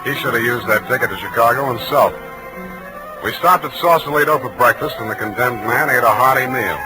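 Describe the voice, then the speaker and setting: A man's voice: mid-range voice, fairly quick, natural voice, rough recording. An American man playing a wry, hard-boiled private detective in 1940s radio style, with a dry, wisecracking delivery. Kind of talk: 1940s radio acting with first-person narration. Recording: old 1940s radio recording, music behind.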